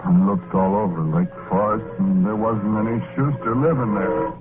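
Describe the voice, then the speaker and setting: A man's voice: on the low side, moderate pace, music in the background, lightly acted. An actor playing a gullible, tender-hearted working-class man, narrating an old-time radio drama in plain, slangy, folksy speech. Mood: sorrowful. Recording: noisy, tinny vintage radio recording.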